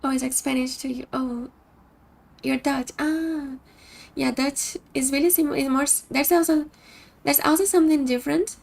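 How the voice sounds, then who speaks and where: A woman's voice: high voice, fairly even intonation, moderate pace, vocal fry. A woman from Brazil with a Brazilian Portuguese accent, a streamer chatting casually with her viewers and often restarting sentences as she searches for words.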